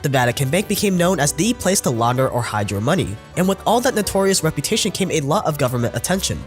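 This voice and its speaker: Lively sarcastic male narrator, a bit sick. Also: on the high side, quick pace, faint music behind. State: sounds sick. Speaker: documentary narrator. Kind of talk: scripted documentary narration.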